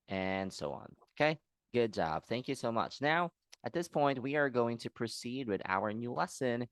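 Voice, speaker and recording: Teacher, male, low voice, dry close sound, patient and encouraging, online lesson. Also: fairly bright tone, dry recording. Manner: patient, encouraging, checks in often. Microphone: video call.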